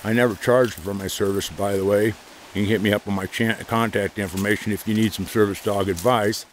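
A man's voice: fairly low pitch, fairly even intonation, brisk, slight background noise, rough recording. A man talking casually to the camera outdoors by a creek, rambling between asides to his dog. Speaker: service dog trainer and vlogger. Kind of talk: rambling outdoor vlog chat. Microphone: handheld camera.

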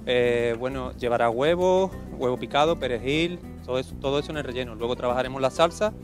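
Fast-talking chef, music behind. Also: male voice, mid-range voice. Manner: explaining steps plainly. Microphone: reporter's handheld mic.

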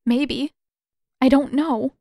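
A woman's voice: high-pitched, steady tone, slow pace, dark tone, no echo, clean studio sound, pitched above the performer's own voice. A woman voicing an upset, emotional teenage girl in an audiobook story. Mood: distressed, pleading. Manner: upset, bursting out. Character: distraught, emotional teenage girl with magical powers.

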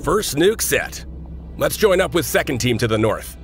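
An actor playing a brash, grumbling mercenary team leader in a video game, casual and gruff in manner. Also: male voice, on the high side, sing-song, normal speed, soft background music. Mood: matter-of-fact. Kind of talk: video game military acting.

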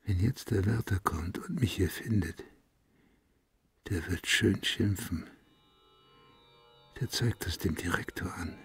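A man acts out a murderous madman in a radio play, with a gravelly, very husky voice. He rambles to himself slowly, childlike and dreamy, and sounds close and dry. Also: sits low, no room sound, faint music behind, full character voice. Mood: anxious. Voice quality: raspy, husky, creaky. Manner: slow, rambling talk to himself. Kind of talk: German audio drama of a literary story.

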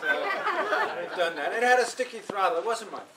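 A man's voice: sits high, big pitch swings, moderate pace, a loud crowd. A man being honored at a club dinner, bantering in an amused, self-deprecating way. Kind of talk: casual banter at a party. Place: indoor function room with a crowd. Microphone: far camera. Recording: distant, noisy party audio.

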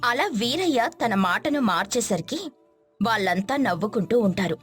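A woman's voice: on the high side, lively intonation, moderate pace, husky. A woman reading scripted narration for a moral story, with music behind her.

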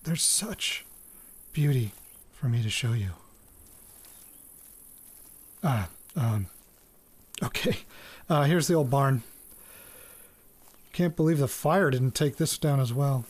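Halting, slow-spoken farm-raised man. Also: mid-range voice. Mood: hesitant, wistful. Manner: halting, murmuring, thinking aloud. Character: hesitant, awed farm-raised man recording his discoveries. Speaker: lead actor in an audio drama podcast. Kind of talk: naturalistic audio drama, narrating into a recorder.

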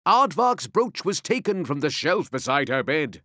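A man's voice: a little high, sing-song, measured pace, bright, forceful tone, no echo, clean studio sound. A man narrating a rhyming story in a dramatic, scripted storyteller's delivery.

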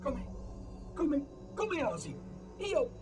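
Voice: sits high, huge pitch swings, very slow, far from the mic, exaggerated voice. Fussy, sing-song robot caretaker, music behind. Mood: indignant. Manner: formal, pedantic, prim. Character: fussy, officious floating robot caretaker of an ancient installation. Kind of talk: dubbed video game cutscene acting.

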